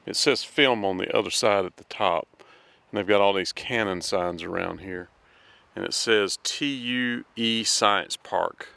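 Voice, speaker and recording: A man's voice: fairly low pitch, a little slow, creaky, sharp tone. A middle-aged man giving a casual walking-tour vlog outdoors in a city square, chatting to his viewers as friends. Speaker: travel vlogger. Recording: noisy outdoor street recording.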